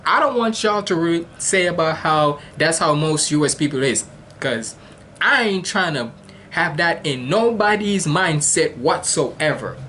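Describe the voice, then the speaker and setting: A man's voice: on the high side, animated, measured pace, sharp tone, a little hiss or hum. A man from Jamaica hosting a reaction video, talking casually and rambling with many restarts and slang.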